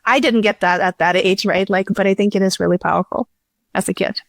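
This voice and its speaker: Woman being interviewed, casual and explainy, normal pitch, really dry close sound. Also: fairly even intonation, a little fast, vocal fry, no echo. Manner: informal, explanatory. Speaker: podcast guest, tech startup CEO.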